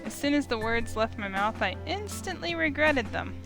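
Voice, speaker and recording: High-pitched flustered young heroine, acted by a woman. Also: moderate pace. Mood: breathless wonder. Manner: incredulous, expressive. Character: flustered, sceptical young heroine narrating her story. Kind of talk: first-person scripted narration with dialogue. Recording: music behind the voice.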